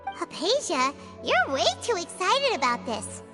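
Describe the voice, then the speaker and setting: Very high, squeaky childlike companion. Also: female voice, sing-song, a little slow, heavily acted. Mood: surprised, questioning. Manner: exclamatory, inquisitive. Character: tiny childlike floating companion girl, excitable, chatty. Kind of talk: anime game voice acting. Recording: music behind the voice.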